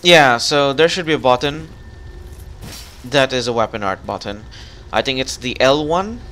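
A man's voice: medium pitch, expressive, normal speed, a bit bright, a little hiss or hum. Man coaching a co-op partner. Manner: instructive, coaching. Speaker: gamer guiding a co-op partner. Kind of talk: casual gameplay chat.

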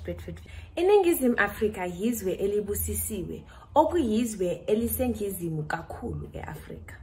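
A woman's voice: mid-range voice, darkish tone, slight background noise. A teenage South African schoolgirl giving a careful, recited presentation about her country, recorded indoors on a phone or webcam. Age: teens. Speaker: student presenter.

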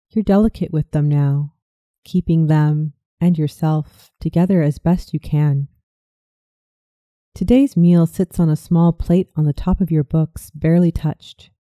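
A narrator reading a scripted guided visualization story in a soothing, measured manner. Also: mid-range voice, no room sound, lo-fi sound.

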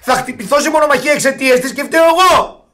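An actor playing an exasperated, panicky husband in a radio theatre farce, exclaiming and repeating himself excitably. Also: male voice, extremely high pitch. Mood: exasperated despair. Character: exasperated, panicky husband and father, easily outraged.

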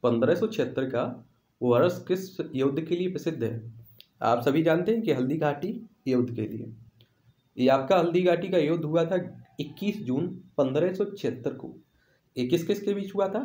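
Higher-voiced male quiz teacher in echoey room. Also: animated, moderate pace, warm tone. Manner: repeats questions and answers for emphasis. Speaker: teacher presenting exam quiz questions. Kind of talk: instructional quiz walkthrough.

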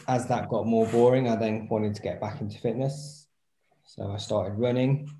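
A man's voice: fairly low pitch, warm tone. A man in his thirties talking casually and reflectively as an interview guest, explaining his work as a personal trainer over a video call. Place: small indoor room at home, video call. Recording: roomy echo on voice.

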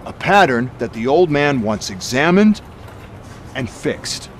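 An actor playing an abrasive, arrogant corporate security chief in a game, with a sneering, menacing manner. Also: male voice, medium pitch, big pitch swings, takes it slowly, a little hiss or hum. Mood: cold, menacing.

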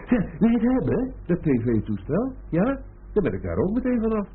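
A man's voice: sits high, wildly swooping pitch, normal speed, husky, heavily acted. An actor playing a wry, possessive middle-aged father in a radio play, sardonic and teasing, full of rhetorical questions. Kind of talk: naturalistic radio play acting. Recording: tinny, band-limited old radio recording with noise.

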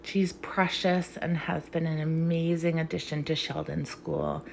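A woman's voice: deep voice, flat delivery, unhurried, gravelly, a little mellow, a little hiss or hum. A young woman teacher reading aloud for young children in an emphatic, theatrical, sing-song way.

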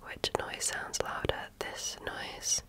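A woman whispering in ASMR style as she role-plays a medical examiner, gently asking questions in short, measured phrases.

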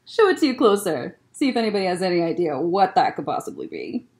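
Lively young woman reading a picture book. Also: lowish voice, quick pace. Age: young adult. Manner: expressive, child-directed storytelling. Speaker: children's librarian, story time host. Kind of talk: picture book read-aloud with casual asides. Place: library room lined with bookshelves, indoors.

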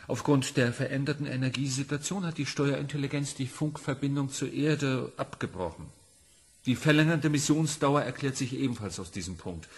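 A man's voice: mid-range voice, husky. An actor playing a male scientist delivering a dry, matter-of-fact report. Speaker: scientist doctor. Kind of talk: radio play acting.

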